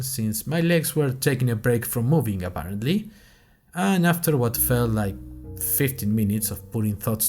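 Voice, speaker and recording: A man playing a bemused university student who narrates a first-person audio diary in a dry, musing, deliberate way. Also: fairly low pitch, darkish tone, close to an everyday voice. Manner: dry, wry, musing in short deliberate phrases. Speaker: narrator of a fiction podcast.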